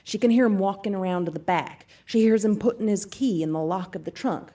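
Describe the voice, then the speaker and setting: A woman's voice: mid-range voice, brisk, warm tone, distant mic, natural voice. An actress playing a brash, upbeat self-defense seminar speaker who addresses her audience emphatically in an audio theatre piece. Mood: dramatic storytelling. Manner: emphatic, peppy motivational-speaker delivery, folksy asides.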